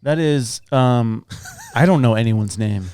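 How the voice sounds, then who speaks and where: A man's voice: mid-range voice, slow, a little mellow, clean tone. A young man co-hosting a comedy podcast, joking around casually and breaking into goofy singing and accents. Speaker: podcast co-host.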